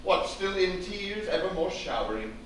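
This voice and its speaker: An actor playing a stern, raging aristocratic father, swinging from low menace to shouted tirades in Shakespearean stage style. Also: very animated, normal speed. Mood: stern, scornful. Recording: echoey stage from far off, faint noise.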